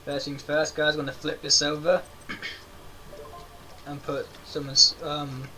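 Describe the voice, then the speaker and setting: A man's voice: level tone, takes it slowly, a bit bright, soft background music, low-quality recording. A man hosting a gaming channel, chatting casually to viewers and thinking aloud as he plays a card game. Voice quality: self-described high-pitched voice. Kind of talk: casual let's-play commentary.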